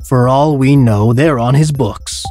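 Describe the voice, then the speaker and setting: A man's voice: mid-range voice, measured pace, dark tone, faint music behind, lightly acted. A man playing a scornful, calculating tycoon villain, articulate and condescending, in an audio drama debate. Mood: sneering.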